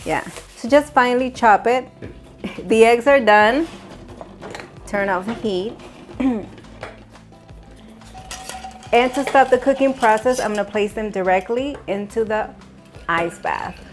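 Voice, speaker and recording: A woman's voice: on the high side, slow pace, pauses often, faint music behind. A woman hosting a home cooking show, giving upbeat step-by-step instructions and laughing often. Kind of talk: cooking demonstration. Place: home kitchen.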